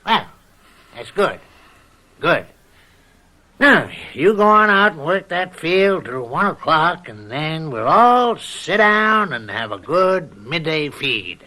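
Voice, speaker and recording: An actor playing a leering, domineering older farm uncle in a radio drama, with a folksy, mock-friendly needling manner. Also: male voice, fairly high pitch, very animated, slow, bright, forceful tone, heavily acted.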